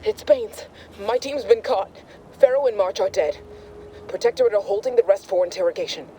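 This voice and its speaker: An actress playing a rattled undercover operative reporting in, terse and urgent, in a video game. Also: female voice, medium pitch, fairly quick, dark tone, slight background noise, lightly acted, low-quality recording. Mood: urgent, distressed. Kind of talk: dramatic video game dialogue.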